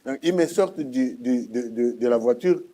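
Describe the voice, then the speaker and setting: Mellow Congolese man giving testimony. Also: medium pitch, expressive, moderate pace.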